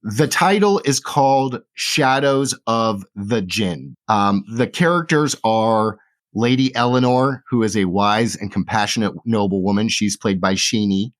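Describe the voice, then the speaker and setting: A man acting as game master, explaining game rules quickly and plainly. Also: lowish voice.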